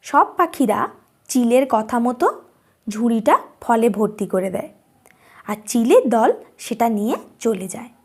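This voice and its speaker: A woman narrates a children's tale in a high voice. She takes it slowly, reading as a scripted storyteller for a cartoon. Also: lively intonation, a little mellow, slightly rough.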